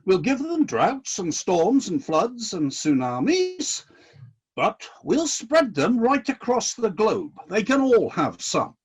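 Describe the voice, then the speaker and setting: A man acting in a radio play as God, a disillusioned but decisive ruler of heaven, with indignant questions and deliberate commands. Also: sits high, sing-song, husky, heavily acted. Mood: commanding, resolute.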